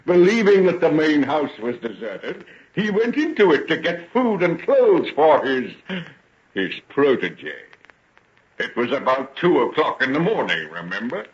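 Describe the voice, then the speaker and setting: An actor playing a sinister, mocking gentleman with elaborate politeness in an old radio drama. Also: fairly high pitch, sing-song. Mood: gloating narration. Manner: taunting, theatrical, drawn-out polite phrasing. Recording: dull, noisy old radio recording with clicks.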